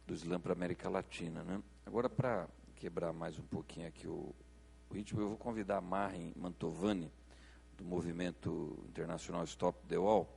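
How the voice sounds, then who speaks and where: A man's voice: sits low, fairly even intonation, moderate pace, creaky, a little mellow, a little hiss or hum. A man introducing speakers at an assembly as moderator, in an informal, conversational way. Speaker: event moderator.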